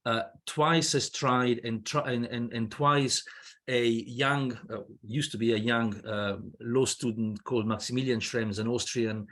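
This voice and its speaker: A man's voice: medium pitch, steady tone, measured pace, fairly bright tone. A man in his fifties with a Swiss accent, not a native English speaker, speaking as a guest lawyer on a video call, hesitant with many fillers and restarts. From Switzerland. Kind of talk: panel discussion on a video call. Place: indoor room with wooden ceiling. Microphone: laptop webcam on a video call.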